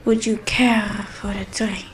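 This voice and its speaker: A woman playing a knowing, mysterious alien bar server in an audio drama, breathy and unhurried. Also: mid-range voice, level tone, lightly acted. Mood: welcoming. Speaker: voice actor. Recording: roomy echo, faint music.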